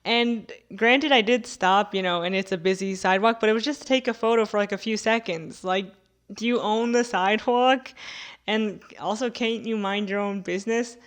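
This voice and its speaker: A woman's voice: medium pitch, moderate pace. A young American woman talking casually to her viewers in a conversational way, dropping in filler words like "like" and "you know". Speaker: video creator answering viewer questions.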